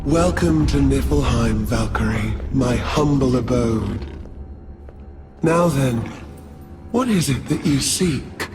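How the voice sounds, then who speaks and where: Slow, mocking monstrous wolf god. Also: male voice, medium pitch, lively intonation. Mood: mock welcoming. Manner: mocking, grandiose, slowly drawn out. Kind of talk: video game cutscene acting. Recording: loud music behind.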